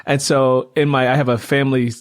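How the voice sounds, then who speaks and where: A man's voice: mid-range voice, level tone, fairly quick. Male interviewer, mid-range voice, casual podcast chat. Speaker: podcast host, author and audiobook narrator.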